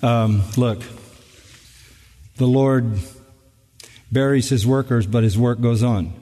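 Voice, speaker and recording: An older man, a pastor, answers questions from the congregation at a measured pace. He talks in a thoughtful way and adds touches of humor. His voice carries a long reverb from a large church hall. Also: medium pitch, fairly even intonation, mellow. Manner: measured, reflective, with light humor. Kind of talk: live Q&A answers.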